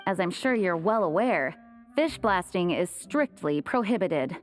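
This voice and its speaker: Formal knight woman, expressive intonation. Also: fairly low pitch, unhurried, soft background music. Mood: official, firm. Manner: formal, apologetic but firm. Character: dutiful, polite young female knight officer, firm. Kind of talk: video game character acting.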